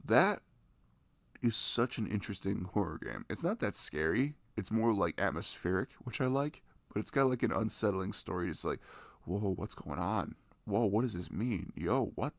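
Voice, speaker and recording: A young boyfriend voiced by a man talks close and tender in a cozy ASMR roleplay, his low voice moving freely up and down. There is some gravelly creak in it as he rambles, sweet and nerdy, to his partner. The sound is dry and intimate. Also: expressive, moderate pace, no room sound. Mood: nerdy, enthusiastic. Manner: tender, rambling, giggly, gushing about games.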